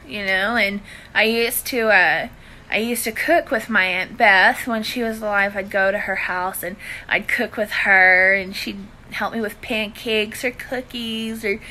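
A woman's voice: medium pitch, bright, forceful tone. A woman in her thirties with a Southern US accent, chatting informally to live viewers indoors on a phone held at arm's length, using friendly endearments. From Louisiana, southern US. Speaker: cooking and budgeting live-stream host. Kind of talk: casual chat to live viewers. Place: indoors in a living room.

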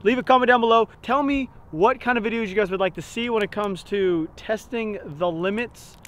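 Young Texan male vlog host, high voice, jokey and rambling, outdoor camera mic. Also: brisk. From Texas. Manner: excitable, jokey, stumbling restarts. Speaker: fishing channel host. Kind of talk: casual vlog talk to camera. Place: outdoors on a rural gravel lot.

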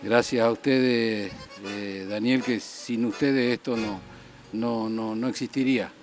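A middle-aged man with an Argentine accent replies in an interview. He is halting and very unhurried, with faint music running behind him. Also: mid-range voice, steady tone. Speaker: interviewee at livestock show. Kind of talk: spontaneous interview answers.

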